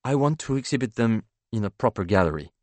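A man's voice: medium pitch, animated, fast, a little mellow, no echo, voice pushed up, close to an everyday voice. A man playing a polite, lovelorn banker in an audiobook, formal and earnest in his speech. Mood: earnest, persistent.

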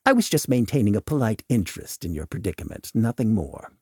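A man's voice: fairly low pitch, big pitch swings, a little fast, mellow, husky, no room sound, studio quality. A man voicing an eccentric, coolly mocking doctor in an audiobook, with wordy, formal, theatrical delivery. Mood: dismissive. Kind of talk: audiobook character dialogue.

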